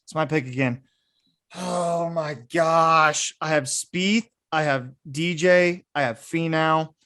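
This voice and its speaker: A man's voice: on the high side, takes it slowly, bright, forceful tone. A man hosting a podcast, chatting casually and bantering with friends. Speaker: podcast host.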